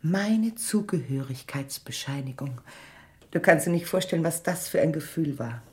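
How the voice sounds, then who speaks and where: Low-voiced, closed-off middle-aged mother. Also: expressive, normal speed, a little mellow. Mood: firm, proud. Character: closed-off middle-aged mother, former refugee, burying her past. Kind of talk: dramatic radio play dialogue.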